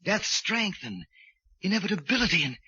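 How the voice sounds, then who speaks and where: A man acting an intense, unhinged sculptor obsessed with death, in halting, theatrical 1940s radio style. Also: high-pitched, sing-song, bright, forceful tone, slightly rough, dry recording, heavily acted. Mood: fervent, obsessed. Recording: muffled, hissy 1940s radio recording.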